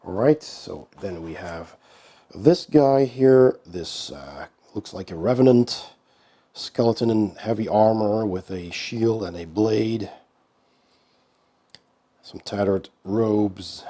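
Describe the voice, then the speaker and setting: A man with a cold speaks in a hoarse, very dark and mellow voice that sits fairly low. He talks very slowly and casually as he hosts an unboxing. His delivery is low-key, with many pauses between thoughts. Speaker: board game channel host.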